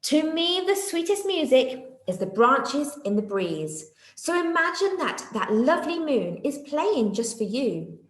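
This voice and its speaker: A woman voices a wise, kindly little storybook cricket. He speaks gently and slowly in a high voice, with some room echo. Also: very animated. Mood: encouraging. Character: small wise, kindly male cricket, encouraging. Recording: echoey room.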